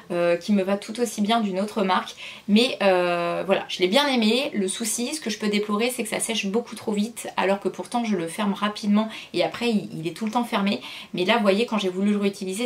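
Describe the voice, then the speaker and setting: A woman in her thirties chatting casually through a product review, full of hesitations and restarts. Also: mid-range voice. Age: 30s. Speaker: vlogger.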